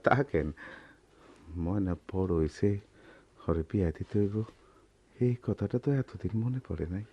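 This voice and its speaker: A man playing an ageing, gentle village goldsmith and worried father in a Bengali radio drama, weary and reflective, with a rural Bangladeshi accent. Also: low-pitched, measured pace, husky, muffled recording, exaggerated voice. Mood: pensive, regretful. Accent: rural Bangladeshi dialect.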